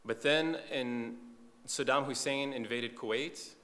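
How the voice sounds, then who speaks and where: A man's voice: medium pitch, slow, a bit bright. Man preaching in a long-reverb hall. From eastern Saudi Arabia. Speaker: visiting preacher. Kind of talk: sermon testimony in short phrases for an interpreter.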